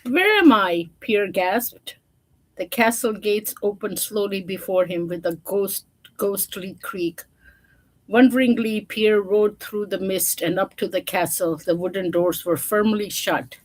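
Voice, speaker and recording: A woman reads a children's picture book aloud at a slow, unhurried pace. She moves between narration and casual explanation, chatting to her listeners about the pictures. Her pitch sits in a middle range for a woman. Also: steady tone, low-quality recording. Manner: informal, chatty asides to listeners, showing pictures. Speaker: storyteller.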